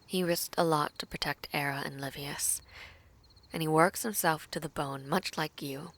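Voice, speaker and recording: Soft, low-voiced composed princess played by a woman. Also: steady tone, moderate pace. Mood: tender, reflective. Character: composed, caring young princess, diplomatic and reassuring. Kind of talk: audio roleplay drama acting.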